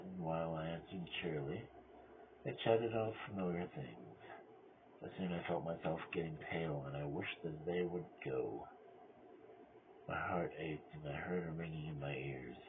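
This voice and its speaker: A man playing a cunning, mad murderer who confesses in a theatrical gothic horror reading, swinging between hushed control and frenzy. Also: extremely low pitch, moderate pace. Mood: smug, controlled. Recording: tinny band-limited audio with clear noise.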